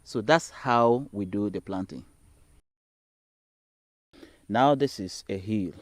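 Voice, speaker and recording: A man's voice: fairly low pitch, slow pace, no room sound. A man with a Sierra Leonean accent explaining practical farming steps as a trainer in an instructional film. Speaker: agricultural trainer.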